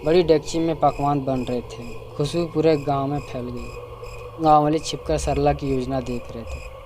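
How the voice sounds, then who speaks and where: A man's voice: a little high, fairly even intonation, normal speed, noisy background. A man narrating a scripted cartoon folk tale as storyteller.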